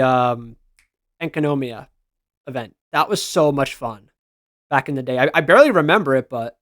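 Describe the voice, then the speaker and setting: A man's voice: a little high, no echo, studio quality. A man giving casual, slangy reaction commentary as a gaming content creator, responding aloud to what he reads.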